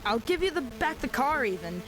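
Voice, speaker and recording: A woman's voice: high-pitched, very animated, normal speed, background noise. A woman playing a stranded survivor in an audio drama, rambling and joking wryly into a radio in a conversational way. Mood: joking, hopeful. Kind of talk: audio drama monologue sent as a radio broadcast.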